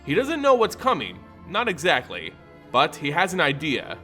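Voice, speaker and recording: A man giving a dramatic, theatrical scripted narration of a fantasy story, with deliberate emphasis. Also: on the high side, wildly swooping pitch, bright, forceful tone. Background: music.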